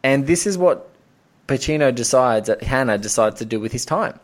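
A man's voice: medium pitch, lively intonation, normal speed. A man hosting a casual film discussion, talking in an enthusiastic, analytical and digressive way. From Australia. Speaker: podcast host.

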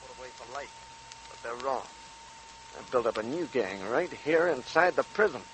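A sneering convict killer played by a man from a 1940s radio crime drama. He boasts in a tough, mid-pitched voice, full of menace. The old recording carries a slight hum. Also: animated, moderate pace, fairly bright tone, lightly acted, poor audio. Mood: sneering, boastful.

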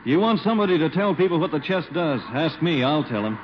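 A man's voice: on the high side, animated, a little fast, lightly acted. An actor playing a friendly, proud father, hearty and sincere, in an old radio comedy drama. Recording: muffled, noisy old radio recording.

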